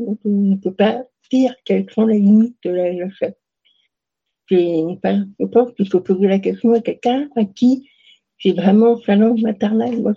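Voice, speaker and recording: Young woman, hesitant and breathy. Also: medium pitch, highs cut off. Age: young adult. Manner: hesitant, many fillers and restarts. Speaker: interview guest. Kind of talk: casual interview answers.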